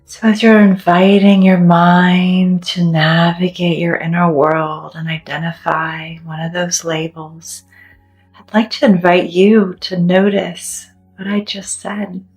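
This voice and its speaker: A woman leading a guided meditation in a gentle, soothing, deliberate way, leaving long pauses between phrases. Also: on the low side, level tone. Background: soft background music.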